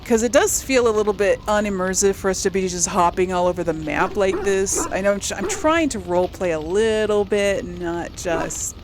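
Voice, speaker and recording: A woman's voice: medium pitch, moderate pace, hardly any pauses, background noise. A woman hosting a casual let's play, chatting to viewers and thinking aloud in a tentative way. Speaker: gaming video host and player.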